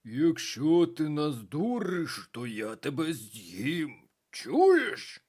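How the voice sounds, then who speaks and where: The reader voicing a hungry male cannibal in carnival costume, dragging out his threats slowly. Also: medium pitch, sing-song, heavily acted. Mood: menacing.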